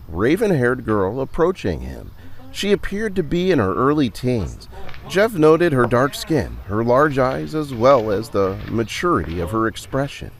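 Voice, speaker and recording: A man narrates an audio story in a scripted, dramatic storytelling style. His phrasing is deliberate and theatrical, and his pitch rises and falls widely.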